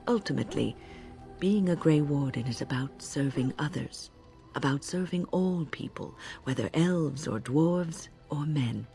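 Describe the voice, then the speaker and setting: An actress playing a wise older woman in a video game, a patient mentor with a measured, earnest way of speaking. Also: low-pitched, animated, vocal fry, mellow, soft background music, heavily acted. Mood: earnest, firm. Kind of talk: video game dramatic acting.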